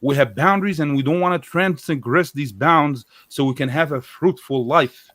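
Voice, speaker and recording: A man's voice: a little high, moderate pace, a bit bright, no room sound. Man arguing casually, close dry sound. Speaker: guest commentator on a religious live show. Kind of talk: casual argumentative discussion.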